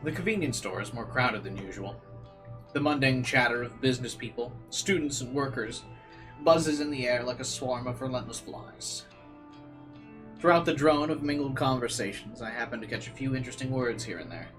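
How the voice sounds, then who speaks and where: A man's voice: mid-range voice, normal speed, fairly bright tone, poor audio. A man reading a visual novel aloud as a streamer, shifting between dramatic narration and slangy, playful asides. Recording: music playing behind the voice. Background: guitar game music.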